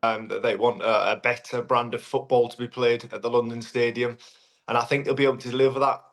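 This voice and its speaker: A man, a former footballer, giving a relaxed interview with some fillers. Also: medium pitch, a little fast. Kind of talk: interview clip.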